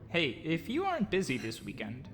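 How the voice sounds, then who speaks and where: A man's voice: fairly high pitch, huge pitch swings, moderate pace, over music. A man playing his guarded, reluctant eighteen-year-old self in an audio drama, with terse, unsure delivery. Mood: casual, friendly.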